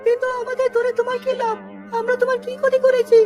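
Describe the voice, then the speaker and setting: A woman's voice: very high voice, completely flat delivery, quick pace, over music. A woman voicing a small, pleading male bird in a children's cartoon. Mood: frightened, pleading.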